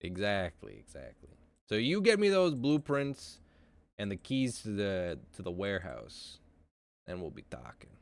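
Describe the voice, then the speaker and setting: A man's voice: lowish voice, huge pitch swings, measured pace, full character voice. A man voicing a brash, swearing smuggler boss woman. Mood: brash scheming. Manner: swears often, brash and pushy. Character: foul-mouthed female smuggling boss, brash.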